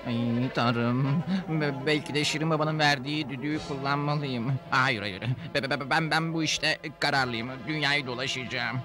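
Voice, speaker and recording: A man's voice: medium pitch, moderate pace, few pauses, lightly acted. A man voicing a small, dreamy cartoon creature who longs for adventure, earnest and wistful. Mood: nervous. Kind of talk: cartoon dub acting. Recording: loud music behind the voice.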